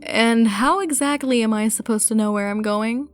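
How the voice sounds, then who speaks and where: A woman's voice: on the high side, normal speed, soft background music, close to an everyday voice. A woman playing a tough, impatient monster hunter in a fantasy audio drama, blunt, casual and wry. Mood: stubborn, wry.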